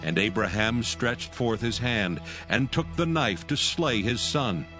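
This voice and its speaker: A man's voice: mid-range voice, animated, a little slow, over music. A man narrating scripture as a scripted reading.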